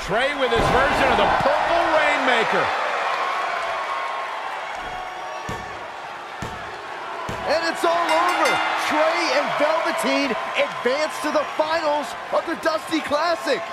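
An actor voicing a male play-by-play wrestling announcer who calls the action with excitement. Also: very high-pitched, normal speed, hardly any pauses, heavy background noise. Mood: excited. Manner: energetic, calls the action loudly. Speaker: play-by-play commentator. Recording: loud arena crowd and music behind.

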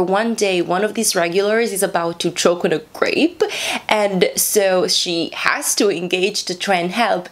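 A young woman hosting a casual book review channel from home, chatting animatedly and sometimes dropping to a whisper, speaking into a camera mic at medium distance. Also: fairly low pitch. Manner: lively, gestures a lot, drops to whispers. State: sleepy, short on sleep. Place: small room at home, indoors.

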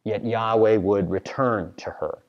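Male American pastor, earnest sermon delivery, slow and measured, ringing church-hall reverb. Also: on the low side, steady tone, lo-fi sound. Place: large church sanctuary, at a pulpit.

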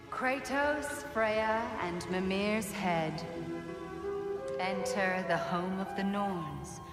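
A woman playing a cool, all-knowing spirit of fate in a video game, narrating events with theatrical detachment, in long reverb over music. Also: mid-range voice. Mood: detached, knowing. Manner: slow, detached, theatrical storytelling. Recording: long echoing hall reverb, music underneath.

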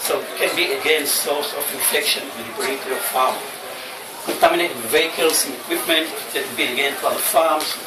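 A middle-aged man from Israel with an Israeli accent, giving an expo lecture as a poultry vet, halting and repeating words as he goes. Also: fairly high pitch. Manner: halting, repeats words, non-native phrasing. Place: large tent at an outdoor expo. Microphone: headset mic, recorded by far camera. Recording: echoey tent from a distant camera, noisy.

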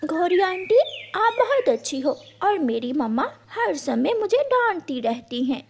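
Woman doing a high-pitched whiny little girl bird. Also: very animated, unhurried, a little hiss or hum, heavily acted. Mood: complaining. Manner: complaining, defiant. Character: whiny, lazy, sulky young girl bird.

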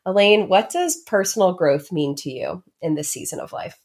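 A woman's voice: fairly low pitch, expressive, normal speed. A young woman hosting a podcast, affirming and earnest as she thanks her guest and listeners. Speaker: podcast host. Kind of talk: podcast interview and outro. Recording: faint music behind.

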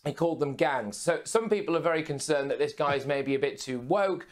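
A young man giving brisk, emphatic news commentary as a guest presenter from a remote studio. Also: on the high side, expressive. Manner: brisk, emphatic, punchy phrasing. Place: remote studio with city view.

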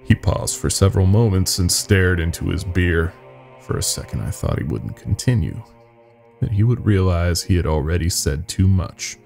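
A man narrating a scary story in a dramatic, grave and deliberate way. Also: low-pitched, expressive, gravelly, darkish tone. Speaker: horror podcast narrator. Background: music.

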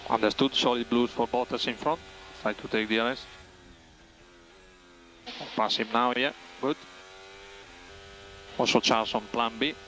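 A man's voice: medium pitch, fairly even intonation, a little slow, long pauses, fairly bright tone, slight background noise, rough recording. A man working as a race engineer, giving clipped, factual updates over team radio and repeating key phrases for clarity. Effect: team radio transmission.

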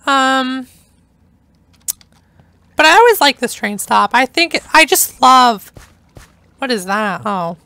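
Young woman, hoarse, chatty let's-play host; high, bright voice, dry close sound. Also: sing-song, slow pace, long pauses, dry recording. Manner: chatty, enthusiastic, rambling. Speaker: gameplay narrator. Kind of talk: casual let's-play commentary.

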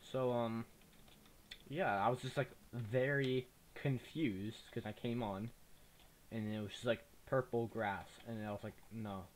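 A man's voice: fairly even intonation, a little slow. A young man with a slight lisp, rambling casually through a let's play recorded on a cheap microphone. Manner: rambling, chatty, easily distracted. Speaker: gaming YouTuber. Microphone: cheap desktop mic. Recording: low-quality mic with faint noise.

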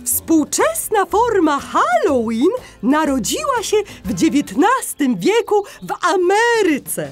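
A very high, wildly swooping parrot schoolteacher, cheerful and instructive. She stretches her words slowly and theatrically, as in a children's audio play. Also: wildly swooping pitch, faint music behind, heavily acted. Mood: explaining. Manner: theatrical, drawn-out, sing-song emphasis. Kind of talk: children's audio drama acting.

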